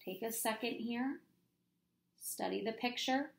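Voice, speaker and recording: A woman in her thirties reading a children's book aloud like a teacher, explaining deliberately and asking the young listeners questions. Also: a little high, vocal fry. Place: small plain room at home, indoors.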